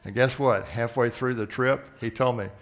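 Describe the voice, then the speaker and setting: A man preaching a sermon, telling a story earnestly and often sinking into a soft, low voice. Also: normal speed, a little hiss or hum. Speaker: missions leader preaching.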